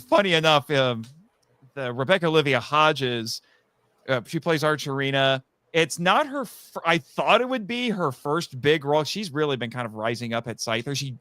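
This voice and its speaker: A man talking casually as a guest about his writing, telling stories in an animated way and acting out quotes. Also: a little high, clean tone, no echo. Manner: animated storyteller, acts out quotes. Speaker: guest, audio drama writer. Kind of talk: casual chat.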